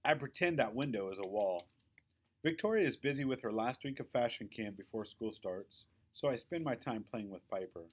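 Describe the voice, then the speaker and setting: A man reading a children's novel aloud in an expressive storytelling style. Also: medium pitch, moderate pace. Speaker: audiobook-style reader.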